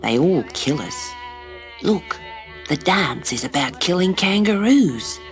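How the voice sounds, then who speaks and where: A woman reading a gentle mother kangaroo. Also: on the low side, takes it slowly, music in the background, exaggerated voice. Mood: hushed. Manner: kindly, calm, hushed when afraid. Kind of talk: storybook character voice.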